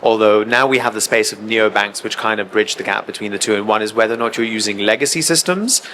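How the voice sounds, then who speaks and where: A man speaking as a panelist in a conference debate, explaining in a measured way with frequent fillers. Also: fairly low pitch, bright, forceful tone, a little hiss or hum. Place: conference stage.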